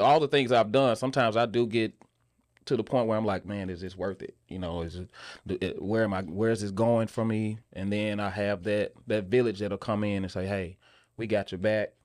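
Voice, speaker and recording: A man answering casually in a podcast interview, often halting and repeating words as he thinks aloud. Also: lowish voice, darkish tone, no echo, clean studio sound. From Memphis, southern United States. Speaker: guest, actor and filmmaker.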